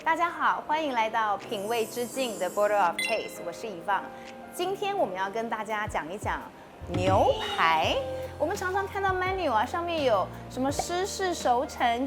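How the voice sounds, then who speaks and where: A woman host, lively and laughing, interviewing a chef. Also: on the high side, moderate pace. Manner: lively, laughs often, mixes Mandarin and English. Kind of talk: casual interview. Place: commercial kitchen butchery room, indoors. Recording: music bed under the voice. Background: background music.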